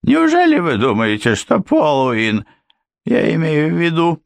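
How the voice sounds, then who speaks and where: A man voicing a frightened middle-aged housekeeper in a high, strained, tearful voice. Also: huge pitch swings, unhurried, creaky, no echo, exaggerated voice. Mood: desperate. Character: anxious middle-aged female housekeeper, shrill, pleading. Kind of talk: audiobook character voice.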